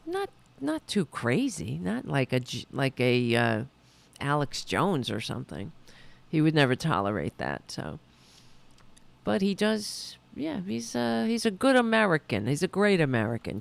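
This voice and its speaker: A woman hosting her own liberal talk show, chatting loosely and correcting herself as she goes, a little hoarse. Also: low voice. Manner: rambling, self-correcting, chatty. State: losing her voice. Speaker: podcast host. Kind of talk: casual political talk show.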